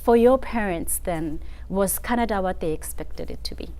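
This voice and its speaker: Mid-pitched Zambian woman, affirming interview host. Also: moderate pace, warm tone, low-quality recording. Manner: affirming, emphatic, uses tag questions. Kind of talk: conversational interviewing.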